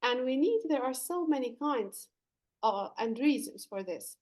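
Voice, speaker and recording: A woman's voice: sits high, expressive, measured pace, a little mellow. A woman from Lebanon, a leadership coach, earnestly explaining her work as a podcast guest. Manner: earnest, explanatory, some fillers and restarts. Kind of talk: podcast interview answers.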